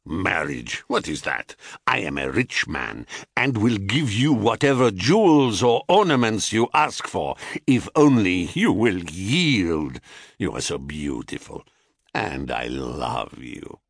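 A lecherous, wealthy male seducer, put on by a narrator, with a low, hushed delivery. He flatters smoothly and presses hard, a forceful suitor in a storybook myth, heard in a dry recording. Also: takes it slowly, no echo, heavily acted. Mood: ardent, insistent. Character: husband disguised as forceful, lecherous rich stranger.

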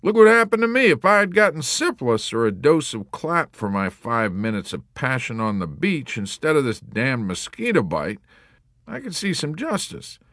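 A man's voice: mid-range voice, big pitch swings, moderate pace, fairly bright tone, no room sound, studio quality, pitched above the performer's own voice. A man voicing a sick warrant officer who laments his bad luck in a whining, aggrieved way. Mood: lamenting.

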